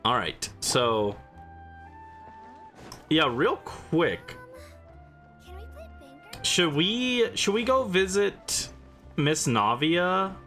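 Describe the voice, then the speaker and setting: Lively, bright-voiced man livestreaming games. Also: fairly high pitch, drawn-out words, long pauses, faint music behind. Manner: playful, chatty, responding to viewers. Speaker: livestream host. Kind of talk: casual gaming livestream chat with viewers.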